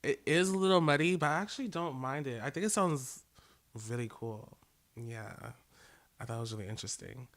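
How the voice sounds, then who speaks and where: A young man giving casual, halting feedback on a track, full of likes and small laughs. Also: mid-range voice, a bit bright. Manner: loose, halting, lots of fillers and laughs.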